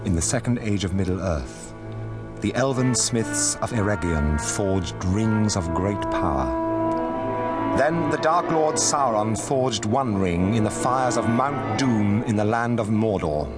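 A man narrating a fantasy radio drama as a grave, measured storyteller of ancient history. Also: lowish voice, lively intonation. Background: loud music.

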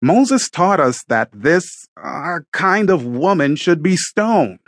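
A man's voice: fairly high pitch, sing-song, takes it slowly, no room sound, studio quality, exaggerated voice. A man voicing a smug, contemptuous temple elder in an audiobook, speaking in scornful, indicting tones. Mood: scornful accusing.

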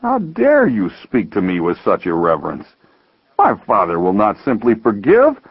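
A man's voice: medium pitch, expressive, fairly quick, husky, dry recording, dull audio, voice pushed up. A man voicing a proud, self-righteous younger brother in a dramatized parable, with an emphatic, declaiming manner. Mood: indignant, self-reproaching.

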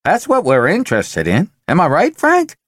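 A man voicing a loud, pushy middle-aged sales executive who rants and lets his voice climb into shouts. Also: fairly high pitch, wildly swooping pitch, normal speed, dry recording, clean studio sound, voice pushed up, exaggerated voice. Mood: ranting. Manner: forceful, ranting, voice rising to shouts.

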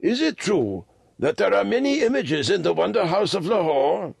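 A man voicing an old Tibetan lama, a gentle, earnest and humble wanderer in a storybook reading. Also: sits high, very animated, moderate pace, creaky, husky, no room sound, studio quality, pitched above the performer's own voice, heavily acted. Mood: gentle inquiry.

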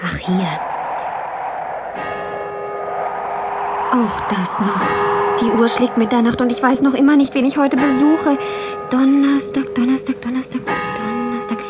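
A woman playing a cheeky, excitable little witch girl in a German children's audio drama, theatrical and self-important. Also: high voice, moderate pace, over loud music, close to an everyday voice. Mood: muttering, searching.

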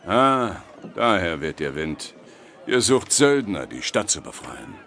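An actor playing Störtebeker, a bold, boastful, hard-drinking medieval sailor, in an audio drama. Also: male voice, sits low, sing-song, takes it slowly, vocal fry, fairly bright tone, full character voice. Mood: wary, blunt. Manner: boastful, swaggering, blunt. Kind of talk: German audio drama acting. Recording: noisy tavern ambience behind.

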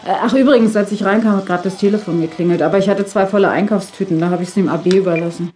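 A woman's voice: medium pitch, fast, a little mellow, a little hiss or hum, close to an everyday voice. An actress playing a cynical, chatty teacher in her thirties in a German radio drama, with dry humour. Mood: wry, chatty. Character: wry, cynical female teacher of thirty-seven.

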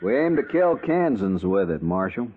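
An actor playing a hostile, stubborn cattle trail boss in a 1950s radio western, curt and defiant. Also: male voice, fairly low pitch, very animated, moderate pace, faint music behind. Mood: curt, guarded. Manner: curt, defiant, drawling cowboy talk. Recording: muffled, noisy old radio recording.

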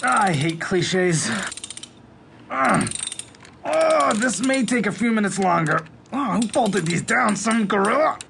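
An actor playing a cocky, easygoing bomb-disposal expert in an audio drama, wisecracking and excitable with a breezy manner. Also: male voice, high voice, slow, gravelly, noisy background, full character voice. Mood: relaxed, wry.

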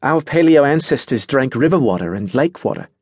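A male narrator puts on a bright young woman for a storybook. The voice sits well above his own and moves in lively curves. She is chatty, precise and matter-of-fact, oblivious to anyone around her. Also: mid-range voice, a little fast, slightly rough, no echo, clean studio sound, voice pushed up. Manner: cheerful, precise, lecturing, unruffled.

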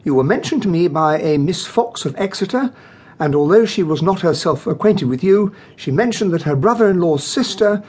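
An actor playing a genial, impatient English gentleman sidekick and narrator in a radio drama, with a British accent and a husky voice. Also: high-pitched, lively intonation, normal speed. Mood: puzzled, impatient.

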